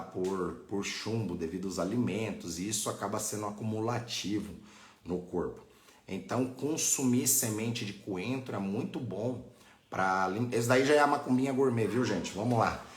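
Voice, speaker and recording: A Brazilian man teaching informally on a live stream, didactic and often checking that listeners follow. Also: on the low side. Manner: didactic, frequent checking tags. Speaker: religious teacher hosting a live online class. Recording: echoey, roomy sound.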